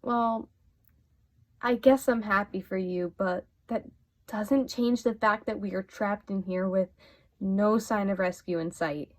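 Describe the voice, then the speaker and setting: A woman's voice: medium pitch, unhurried, natural voice. A woman acting a catty, sardonic former star actress in a radio play. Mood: sardonic, confessional.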